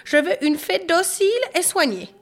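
A woman voicing a strict, easily outraged fairy mother from a storybook, scolding in shrill exclamations. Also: animated, normal speed, a bit bright.

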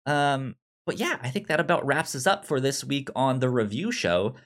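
A man co-hosting a casual review podcast, talking in a halting way with some stammering. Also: medium pitch, expressive. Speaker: podcast co-host.

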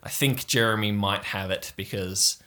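A man's voice: on the low side, normal speed, bright, forceful tone. A man voicing a bossy, blunt teenage girl who gives decisive orders at a camp. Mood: commanding, blunt. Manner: decisive, brusque.

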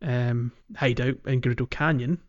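A man's voice: mid-range voice, steady tone, normal speed, dull audio. A man co-hosting a casual gaming podcast, explaining things in a hesitant way and checking for agreement. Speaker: podcast co-host.

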